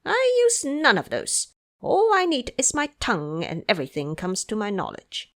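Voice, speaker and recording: A woman's voice: mid-range voice, wildly swooping pitch, normal speed. A woman voicing a cocky magical monkey disciple with teasing, dramatic emphasis in an audio drama. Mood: boastful.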